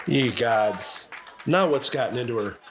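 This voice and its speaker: Sitcom father of old radio, middle-aged, lively swooping delivery, blustery yet sentimental. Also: mid-range voice, unhurried, a loud crowd, very noisy. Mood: exasperated. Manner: blustering, hesitant when sincere. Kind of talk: old-time radio family comedy-drama acting.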